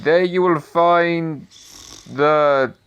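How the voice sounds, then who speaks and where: A man's voice: a little high, fairly even intonation, extremely slow, a bit bright, clear, resonant tone, dull audio. Man playing pompous ghost novelist. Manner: pompous, dithering. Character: pompous dead male novelist, now a ghost. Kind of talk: amateur audio drama.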